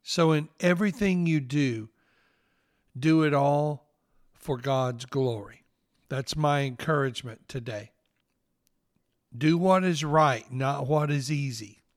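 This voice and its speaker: A man in his fifties with a Southern accent, hosting a devotional podcast and speaking in an earnest, gently preaching way. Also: a little high, takes it slowly, long pauses, no room sound, studio quality. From Northeast Mississippi, US.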